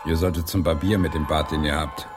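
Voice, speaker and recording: A man acting a confident playwright in a German radio drama, explaining theatrically with deliberate hesitations. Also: very deep voice, fairly even intonation, over music. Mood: wry, testy. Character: William Shakespeare, confident male playwright pitching his outlandish new play.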